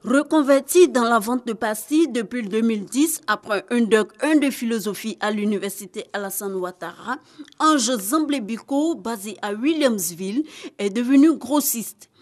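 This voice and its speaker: A woman giving scripted news narration as a reporter, in a measured, formal delivery. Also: fairly high pitch, lively intonation.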